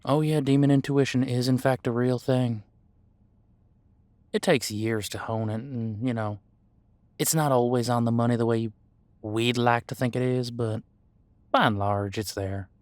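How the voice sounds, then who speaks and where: A man playing an easygoing demon homicide detective in an audio roleplay, talking in a casual, folksy, reassuring way with hesitant pauses. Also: medium pitch, fairly even intonation, a little mellow, dry recording, close to an everyday voice. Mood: confident, reassuring. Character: easygoing male demon homicide detective mentoring new partner. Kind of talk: audio roleplay drama, conversational monologue.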